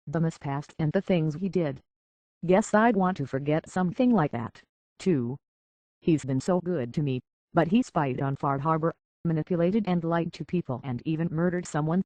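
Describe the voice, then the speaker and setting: An actress playing an earnest, conflicted young woman in a video game, speaking hesitantly and with a soft heart. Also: deep voice, normal speed, no room sound, dull audio, close to an everyday voice. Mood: troubled. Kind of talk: video game character dialogue.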